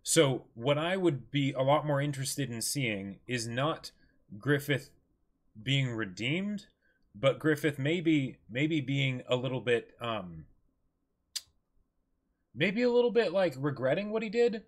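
A man's voice: medium pitch, animated, slow. A man in his twenties co-hosting a livestream, talking casually and emphatically, repeating phrases and checking in with "right?". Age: 20s. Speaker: co-host of a livestream. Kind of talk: casual chat, discussing manga. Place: indoor room, seated.

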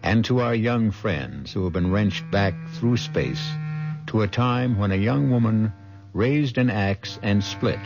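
A man's voice: fairly low pitch, heavily acted. A man playing the ominous host of eerie mystery tales, narrating a radio drama with theatrical deliberation on a muffled old broadcast.

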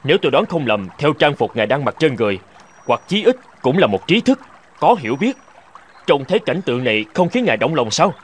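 A man's voice: on the high side, big pitch swings, fairly quick, slight background noise. An actor playing a self-righteous, argumentative young male scholar in a cartoon. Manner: argumentative, boastful, exclamatory. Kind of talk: Vietnamese cartoon dubbing.

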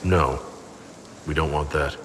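A man's voice: a bass voice, slow pace, a bit bright, in a large hall, slight background noise, full character voice. An actor playing a male inquisitor in a fantasy video game, asking direct questions.

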